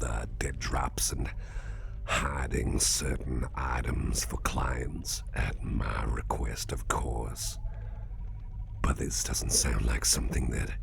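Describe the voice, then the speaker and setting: A male actor performs a suspicious crime boss with an extremely low, heavily gravelled voice. He interrogates in a hushed ASMR whisper, slow and coaxing, with calm menace under every phrase. There is faint noise behind the close recording. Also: heavily acted. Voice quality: very deep, gravelly, breathy whisper. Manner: slow, deliberate, coaxing, veiled threat.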